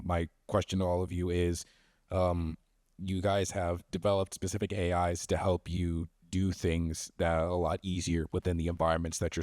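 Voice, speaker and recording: A man from the audience with a very low, flat voice asks the panel a long question. He speaks loudly, wanders, and leans on ums as he goes. The sound is dry, with no echo. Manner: loud, rambling, hesitant with ums. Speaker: audience member asking a question.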